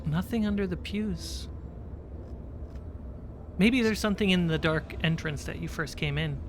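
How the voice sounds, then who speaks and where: A game master narrating a tabletop game in a deliberate, halting way, pausing while setting the scene. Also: mid-range voice. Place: online livestream video call. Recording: clearly noisy background.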